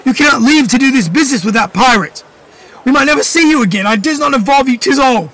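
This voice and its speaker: A man reading aloud, voicing a hot-tempered young boy who shouts in high, shrill outbursts. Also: expressive, fast talker, full character voice, rough recording. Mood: pleading.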